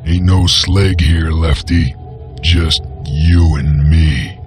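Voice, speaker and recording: An extremely deep, gravelly male voice playing a grim frontier bounty hunter in a western game. He drawls slowly in a Western American accent, terse and deadpan. Music plays clearly behind him. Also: exaggerated voice. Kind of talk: western video game acting.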